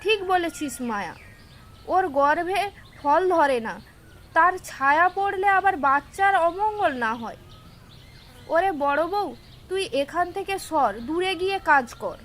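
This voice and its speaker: A very high-pitched, scolding village mother-in-law acted by a woman, scornful and superstitious, in a horror radio play. A faint outdoor ambience sits behind her taunts. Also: lively intonation, unhurried, a bit bright, a little hiss or hum, full character voice. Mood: spiteful, dismissive. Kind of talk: Bengali horror audio drama acting.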